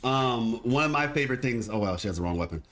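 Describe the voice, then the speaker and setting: A man with a deep voice chatting casually as a lore video host, rambling and restarting his sentences. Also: lively intonation, fast, a bit bright, soft background music. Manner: rambling, hesitant, full of restarts. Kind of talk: casual chat.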